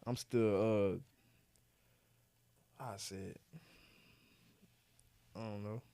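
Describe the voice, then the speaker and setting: A man's voice: fairly low pitch, steady tone, warm tone, a little hiss or hum. A man in his twenties co-hosting a podcast, talking casually and reflectively, rambling with lots of fillers and restarts. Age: 20s. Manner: rambling, halting, many fillers and restarts, says bro. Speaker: podcast co-host.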